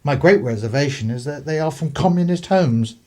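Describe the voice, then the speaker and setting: A man's voice: on the high side, lively intonation, fairly quick, mellow. A man playing a genteel, deliberating host of the 1930s in a radio play. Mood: guarded.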